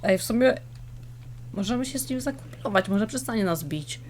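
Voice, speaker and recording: A woman's voice: medium pitch, lively intonation, normal speed, darkish tone. Woman, casual gaming chat, colloquial, joking, sweary, background noise. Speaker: video game player.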